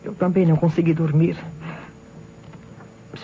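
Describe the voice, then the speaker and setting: An actress playing a suspect's hesitant, uneasy wife with a Brazilian accent in an old radio drama, theatrical in delivery. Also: female voice, low voice, little pitch movement, normal speed. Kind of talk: old Brazilian radio mystery play acting. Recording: dull, noisy vintage radio recording.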